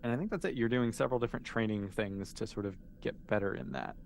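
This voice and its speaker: A man's voice: mid-range voice, fast talker, creaky, a little hiss or hum. A man acting as game master, casually improvising narration for a tabletop role-playing game and thinking aloud as he goes.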